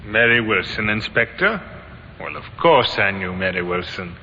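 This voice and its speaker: An actor playing an outwardly easygoing, evasive English warehouse manager under questioning in an old-time radio crime drama. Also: male voice, fairly low pitch, sing-song, normal speed, pushed and bright, a little hiss or hum, full character voice. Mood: casual, guarded. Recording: muffled, reverberant old radio recording.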